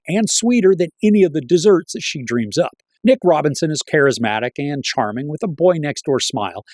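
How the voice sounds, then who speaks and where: A man's voice: a little high, a little mellow, dry recording. A middle-aged man hosting a review show, delivering a scripted, articulate and wryly opinionated critique. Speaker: film reviewer and channel host. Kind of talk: scripted movie review to camera.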